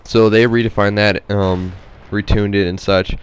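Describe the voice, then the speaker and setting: Low voiced guy doing rambly game commentary, stuffed up nose, sounds sick, some noise and game gunfire behind him. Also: little pitch movement, normal speed. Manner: rambling, hesitant, trailing off. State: sick with a stuffy head cold. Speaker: gameplay commentator. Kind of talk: casual unscripted game commentary.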